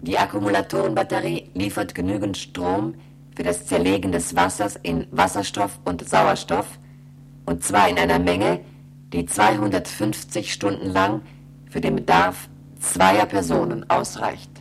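A woman playing an impassive space station computer in a German radio play, reciting instructions in a gravelly, deadpan drone. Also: extremely low pitch, a little slow, a little hiss or hum, heavily acted, poor audio. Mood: impassive, procedural. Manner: deadpan, bureaucratic, methodical.

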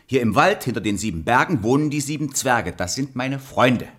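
An actor playing a sly, cheerful and helpful puppet-play trickster in a radio play, persuasive and playful. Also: male voice, mid-range voice, sing-song, normal speed, slightly rough. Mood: crafty, reassuring. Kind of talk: German radio play acting.

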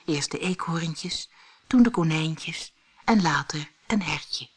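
Female fairy-tale narrator: slow, husky, expressive, dramatic scripted reading. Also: low-pitched. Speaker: storyteller.

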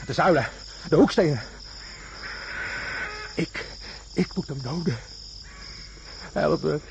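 An actor playing a delirious, obsessed astronaut in a radio drama, raving and repeating himself. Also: male voice, on the high side, slow pace, vocal fry. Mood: desperate. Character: delirious, frantic male astronaut stranded in jungle, obsessed. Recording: radio drama with loud insect noise. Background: jungle crickets and insects.

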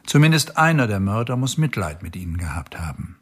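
A man's voice: lowish voice, very animated, brisk, husky. An actor playing a dogged, worn-out male sheriff going over a murder case in a radio drama. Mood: earnest.

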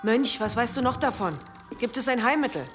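A woman's voice: a little high, lively intonation, brisk, music in the background, close to an everyday voice. An actress playing a stern but compassionate middle-aged village mother in a radio drama, speaking firmly and earthily. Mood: questioning. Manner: firm, admonishing, earthy.

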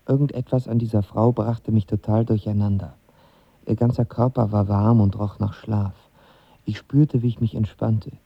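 A man's voice: fairly low pitch, vocal fry, breathy edge, dry recording, full character voice. An actor playing a lovestruck, impulsive young man who narrates his romance in an intimate, reflective audio drama style. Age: young adult. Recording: dull studio sound with music behind.

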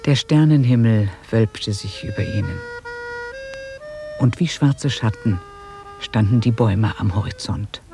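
Calm narrator for a german radio drama, literary village tale, music under the voice. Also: male voice, fairly low pitch, unhurried, creaky, long pauses. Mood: calm, descriptive. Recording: 1980s radio play on cassette.

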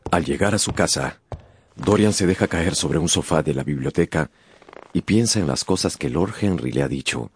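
A man's voice: a bass voice, animated, moderate pace. A man narrating a radio drama in a scripted, dramatic storytelling style. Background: music.

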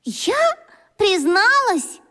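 A woman's voice: very high voice, sing-song, extremely slow, bright, forceful tone, in a large hall, full character voice. A woman playing an anxious, guilty and defensive young schoolgirl in a children's radio play, speaking with agitation. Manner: agitated, emotional.

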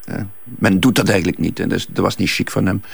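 A man playing an evasive, self-assured businessman under questioning in a crime drama, with a Flemish accent, a gravelly husky voice and repetitive, dismissive replies. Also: low voice, fast talker, no room sound, heavily acted. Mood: guarded, reminiscing. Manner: hesitant, repeats himself, dismissive.

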